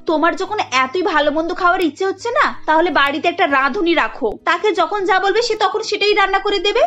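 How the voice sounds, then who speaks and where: A woman's voice: very high-pitched, fairly quick, fairly bright tone. A woman playing an overworked young working wife in a cartoon family drama, earnest and defensive. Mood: exasperated. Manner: earnest, complaining, emphatic. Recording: muffled audio with music behind.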